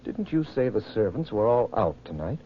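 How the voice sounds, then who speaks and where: An actor playing an earnest, protective well-to-do husband in a suspenseful old radio drama. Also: male voice, fairly low pitch, big pitch swings, moderate pace. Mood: serious. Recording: muffled noisy old radio broadcast.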